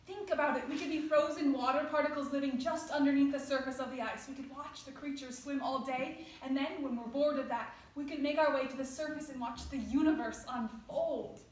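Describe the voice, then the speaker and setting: A woman's voice: sits high, steady tone, brisk, hardly any pauses, natural voice. A woman playing a weary, loving, wistful soulmate in a staged play reading. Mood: dreamy. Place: indoor stage. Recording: very echoey room, faint noise.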